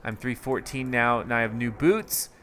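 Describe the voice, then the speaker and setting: Bright-voiced man rambling through gameplay. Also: mid-range voice, moderate pace. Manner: rambling, thinking aloud with many fillers. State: strained, tired voice. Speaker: gaming video host. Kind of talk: casual gameplay commentary.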